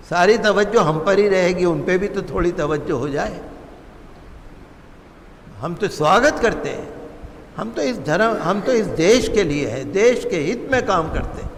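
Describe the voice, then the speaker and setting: A man's voice: high-pitched, slight background noise. A man preaching as an imam, in an impassioned, oratorical way full of repetition and rhetorical questions. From India. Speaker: imam giving a sermon. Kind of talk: impassioned sermon on public affairs. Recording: long hall reverb.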